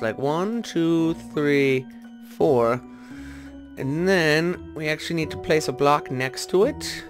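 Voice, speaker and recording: A man with a somewhat high-pitched voice gives casual gameplay commentary over background music. He thinks aloud as he goes, often questioning what he is doing in an informal, unscripted way. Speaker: gaming video host. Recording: music behind the voice.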